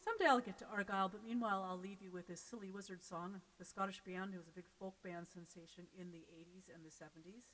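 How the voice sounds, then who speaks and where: An American woman from Seattle, a folk singer chatting casually between songs on her live stream, quick and self-deprecating. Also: medium pitch, fairly even intonation, fairly bright tone, big-room sound, slight background noise, rough recording. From Seattle, United States.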